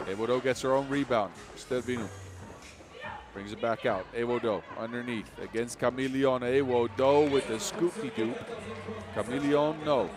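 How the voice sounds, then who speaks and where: A man with a Dutch accent giving fast live basketball play-by-play, his energy rising with each play. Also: mid-range voice. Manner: energetic, rising with the action, self-mocking asides. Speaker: play-by-play commentator. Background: music.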